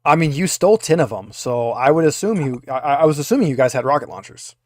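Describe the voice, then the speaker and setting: A man's voice: a little high, lively intonation, quick pace, darkish tone, no echo, clean studio sound. A man working as game master, talking casually as he runs a tabletop roleplaying game.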